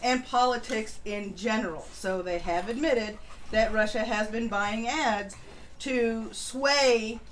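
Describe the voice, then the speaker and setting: A woman with a slightly high voice hosts a political livestream over some background noise. She works through the news informally, adding blunt opinions and casual swearing as she goes. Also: slow pace. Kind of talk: news rundown with casual commentary.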